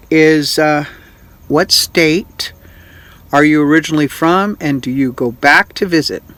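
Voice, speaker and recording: A man's voice: fairly high pitch, fairly bright tone. Folksy man in his sixties. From Utah. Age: 60s. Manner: folksy, unhurried, many filler pauses. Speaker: vlog host. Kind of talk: casual Q&A vlog.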